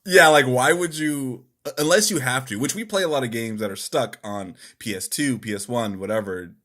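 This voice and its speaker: A man's voice: mid-range voice, normal speed, fairly bright tone. A man co-hosting a podcast in loose, rambling, informal chat, with some swearing. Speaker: podcast co-host. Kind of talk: casual gaming podcast chat.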